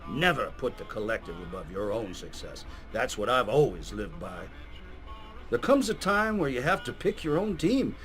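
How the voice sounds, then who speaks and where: A man playing a gruff, gung-ho patriotic coach in a video game, with an American accent and bombastic, speechifying delivery. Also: medium pitch, fairly bright tone. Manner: bombastic, drill-sergeant speechifying. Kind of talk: scripted video game character acting. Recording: music behind the voice.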